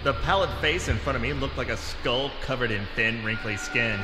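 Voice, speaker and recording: A man playing a self-important adventurer, narrating in a deadpan, melodramatic parody of a pulp radio serial. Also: mid-range voice, moderate pace, natural voice. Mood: ominous, pompous. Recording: reverberant voice under loud music.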